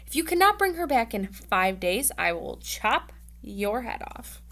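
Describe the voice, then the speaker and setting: A woman's voice: a little high, sing-song, a little slow, a bit bright, full character voice. An old, wrathful Sultan from a storybook performed by a woman reading aloud. He is a stern father who speaks in threats. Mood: angry, threatening.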